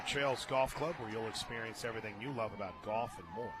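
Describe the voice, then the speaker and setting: An American man doing live radio play-by-play of softball, chatting and joking between pitch calls from a ballpark booth. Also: fast, noisy background. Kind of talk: live softball commentary with casual banter.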